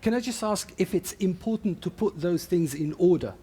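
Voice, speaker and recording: A woman's voice: fairly low pitch, moderate pace, warm tone, slightly rough. A woman co-hosting a radio discussion and putting questions to people. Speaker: radio journalist and co-host.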